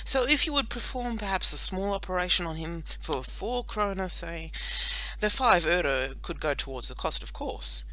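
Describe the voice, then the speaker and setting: A woman voicing an anxious, thrifty father in a storybook, with a halting, haggling manner. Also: lowish voice, animated, sharp tone, rough recording. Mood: flustered.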